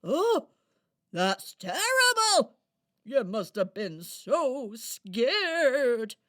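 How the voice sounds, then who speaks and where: A woman voicing a sleepy, kindly hedgehog in a children's radio play, with dramatic, drawn-out exclamations. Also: high voice, big pitch swings, a bit bright, full character voice. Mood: sympathetic, helpful.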